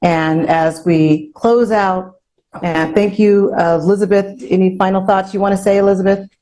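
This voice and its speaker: Woman, discussion host, mid-range pitch, conversational, encouraging, repeats key phrases. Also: fairly even intonation, moderate pace, dark tone. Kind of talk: live online panel hosting, conversational.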